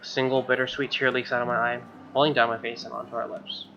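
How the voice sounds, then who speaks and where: A man's voice: medium pitch, flat delivery, fast talker, vocal fry, bright, forceful tone, dull audio, slight background noise. A man doing casual game commentary and reading aloud from a visual novel.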